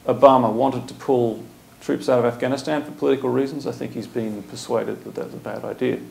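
A man's voice: mid-range voice, steady tone, a little fast, vocal fry, noisy background. A man, a former diplomat speaking bluntly as a guest at a panel talk in a lecture room. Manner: blunt, opinionated, speaks in short punchy statements. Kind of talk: panel conversation. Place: lecture room with a seated audience.

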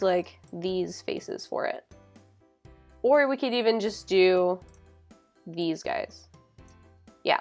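A young adult woman narrating a software tutorial in a casual, informal way, often saying "like". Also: fairly high pitch. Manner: informal, hedging with frequent "like". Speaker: tutorial presenter. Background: background music.

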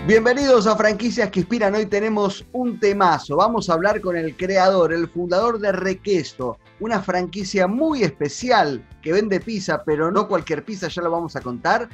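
An energetic man, hosting an interview with enthusiasm. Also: high voice, normal speed, hardly any pauses, faint music behind. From South America. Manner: energetic, exclamatory, gestures with hands. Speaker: host. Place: home study with bookshelves. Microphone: webcam on a video call.

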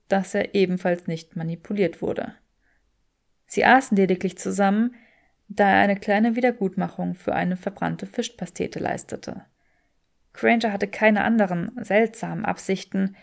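A woman narrates a scripted story as an audiobook. Her voice is slightly low for a woman, with a clearly creaky edge. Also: a little mellow.

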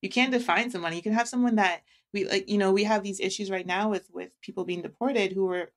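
A woman's voice: medium pitch, steady tone, fast. A woman in her forties talking casually and reflectively as a podcast guest, thinking aloud with plenty of fillers. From Jamaica. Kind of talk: casual conversation.

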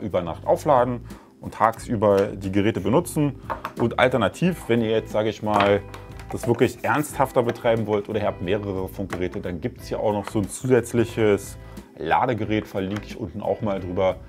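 Man in his thirties, low voice, chatty tech review, soft music underneath. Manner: chatty and explanatory, many fillers. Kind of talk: casual product review. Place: living room at home, indoors.

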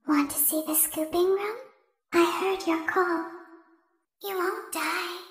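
A woman's voice: extremely high pitch, steady tone, takes it slowly, roomy sound. A woman voicing eerie childlike animatronic toys with a taunting, whispery sing-song.

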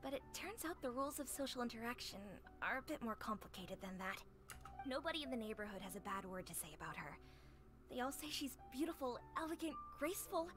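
A woman acts a friendly eatery keeper for a video game. She talks in an easygoing, neighborly, confiding way in a fairly high voice. Music plays clearly behind her. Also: lightly acted. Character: friendly, chatty woman running a humble eatery.

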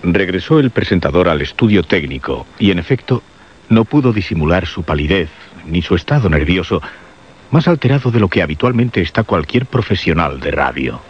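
A man with a Castilian Spanish accent narrating a suspense radio drama solemnly and deliberately, in short phrases. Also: low-pitched, big pitch swings, breathy edge, heavily acted. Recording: muffled radio recording.

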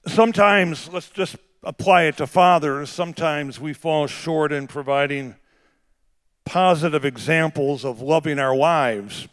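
A middle-aged male pastor with a big voice, preaching a sermon that swings from quiet, conversational asides to shouted, drawn-out emphasis. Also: fairly high pitch. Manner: drawn-out emphatic phrasing on key words.

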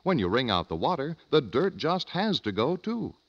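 A male announcer delivers an old-time radio advertisement. His polished, emphatic pitch rises and falls in a lively way at a medium pitch. The recording is slightly muffled. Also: moderate pace, slightly rough. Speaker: commercial announcer.

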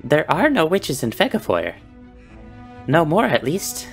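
A performer playing a sly, whimsical male beggar in an animated film, with a theatrical, grandiloquent delivery. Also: mid-range voice, a little slow, vocal fry, soft background music, exaggerated voice. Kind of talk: animated film character acting.

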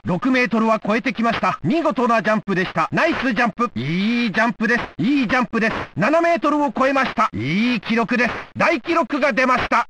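A man playing an excitable old-time stadium announcer, giving formal, emphatic play-by-play for a sports video game. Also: extremely high pitch, slight background noise, exaggerated voice. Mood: excited. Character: excitable male 1960s Olympic stadium announcer.

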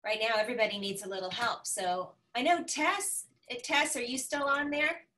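Bright, forceful American woman host. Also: fairly high pitch, fairly even intonation, normal speed. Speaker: online event host. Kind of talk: casual moderating. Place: home living room. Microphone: video call.

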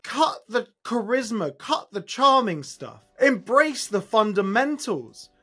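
A man in his thirties giving a blunt, emphatic, exasperated fan reaction to camera after a football match, with a husky voice. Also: sits high, pushed and bright. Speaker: football fan channel host. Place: small room at home, indoors.

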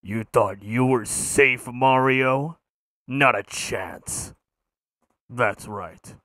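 A man's voice: mid-range voice, sharp tone, dry recording, clean studio sound. A man voicing an arrogant, cruel martial arts villain with demonic power, gloating with contempt in video game taunts. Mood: menacing, gloating. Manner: contemptuous, slow, deliberate gloating.

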